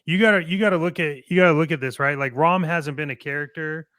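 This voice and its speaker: A man co-hosting a casual talk show, conversational and halting, often repeating his words as he thinks. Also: a little high, fairly bright tone, smooth, no echo, clean studio sound. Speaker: show co-host. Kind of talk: casual chat about toy collecting.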